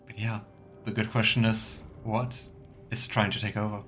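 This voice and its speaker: A man's voice: on the low side, level tone, moderate pace, fairly bright tone, soft background music. A man doing casual let's play commentary, thinking aloud and musing as he role-plays.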